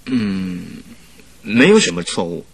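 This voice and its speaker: An actor in a radio drama playing a vain young English aristocrat, affected and pompous but good-natured underneath. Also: male voice, lowish voice, sing-song, takes it slowly, gravelly, husky, exaggerated voice. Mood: earnest. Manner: affected, pompous, easily flustered. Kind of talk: Chinese radio drama acting.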